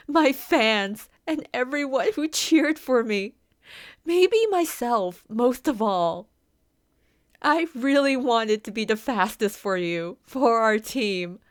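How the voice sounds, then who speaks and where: Injured young horse girl played by a woman, vulnerable ASMR character; unhurried, hesitant, very dry close sound. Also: fairly high pitch, dry recording. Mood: tearful, apologetic. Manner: soft, confiding, hesitant delivery. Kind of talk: ASMR roleplay, scripted character acting.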